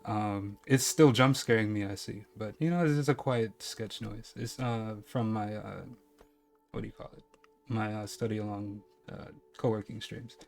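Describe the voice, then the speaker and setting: A man's voice: fairly low pitch, fairly even intonation, normal speed, gravelly. Young male streamer, from New York with a slight Caribbean accent, loose and rambling, faint music under him. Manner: rambling, chatty, lots of fillers. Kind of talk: casual live-stream chat. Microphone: headset.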